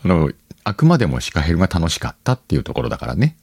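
A man's voice: low-pitched, wildly swooping pitch, racing, gravelly, a little mellow, slightly rough, no room sound, clean studio sound. A man hosting a solo podcast, chatting casually to his listeners and thinking aloud as he goes. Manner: conversational, thinks aloud, addresses listeners directly. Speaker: podcast host. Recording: background music under the voice.

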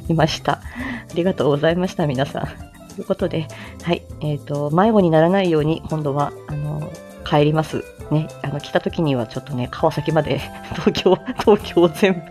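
A woman hosting a casual morning stream, chatty and quick to giggle as she talks to her listeners. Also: sits low, a little mellow. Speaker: online audio show host and voice performer. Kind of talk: casual morning livestream chat.

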